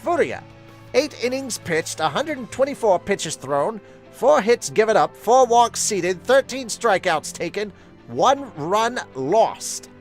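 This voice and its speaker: A man's voice: sits high, very animated, takes it slowly, soft background music, pitched above the performer's own voice, full character voice. A man voicing a formal sports announcer who reads out game statistics in clipped, emphatic lists. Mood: emphatic, announcing. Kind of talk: announcer-style scripted recitation.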